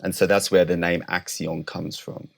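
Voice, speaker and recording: A male scientist with a deep voice answers as an interview guest. His delivery is explanatory and measured, building precise definitions one step at a time. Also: little pitch movement, vocal fry.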